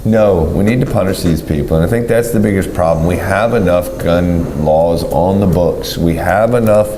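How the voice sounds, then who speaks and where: A man's voice: deep voice, moderate pace, mellow. A man co-hosting a gun range talk show, talking in a rambling, opinionated way full of ums and restarts. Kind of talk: casual chat. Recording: echoey room with faint noise.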